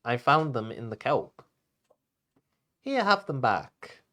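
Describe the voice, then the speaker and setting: A friendly lady shark from a storybook, read by a man. She sounds eager to please and speaks in an everyday, middling pitch. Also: very animated, slow pace, bright, forceful tone, heavily acted. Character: friendly female shark eager to join pirates.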